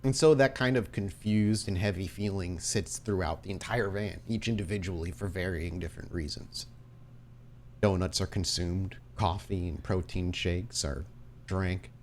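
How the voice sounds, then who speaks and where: A man narrating and refereeing a tabletop roleplaying game as game master, asking measured questions of his players. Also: low-pitched, a little hiss or hum.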